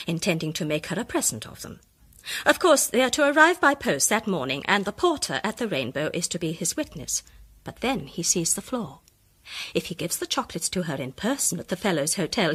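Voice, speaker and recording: A woman playing a shrewd, self-assured amateur detective, setting out her case articulately and deliberately in radio drama style. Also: mid-range voice, a bit bright, breathy edge. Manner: articulate, deliberate, theatrical reveal. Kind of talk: British radio drama acting.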